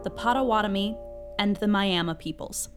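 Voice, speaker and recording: A woman delivers scripted podcast credits. Her voice is mid-pitched, with clear music behind it. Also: moderate pace. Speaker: podcast executive producer.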